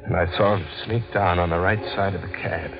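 An actor playing a nervous working-class cab driver in a 1940s radio drama, colloquial and hesitant. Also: male voice, low voice, fairly even intonation, a little fast, creaky, over music. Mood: tense, recounting. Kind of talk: 1940s radio drama acting with first-person narration. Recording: dull, band-limited old radio recording.

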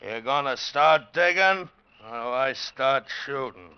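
A man's voice: mid-range voice, animated, unhurried, smooth. Sneering, bullying chain-gang foreman. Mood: drunken menace. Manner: sneering, barking orders. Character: cruel bullying chain-gang foreman, hard-drinking tough. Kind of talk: 1940s-50s radio drama acting. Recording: muffled old radio recording.

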